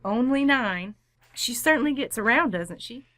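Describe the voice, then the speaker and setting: A woman's voice: on the high side, animated, normal speed, fairly bright tone. A woman playing a composed, firm suburban wife in an old-time radio comedy, polite with dry sarcasm. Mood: annoyed, sarcastic.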